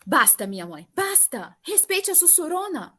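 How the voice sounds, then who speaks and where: A woman playing a sassy, lovestruck young princess in a comedic audio drama, defiant and whining with drawn-out theatrical sarcasm. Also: high-pitched, sing-song, pushed and bright, heavily acted. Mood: mocking.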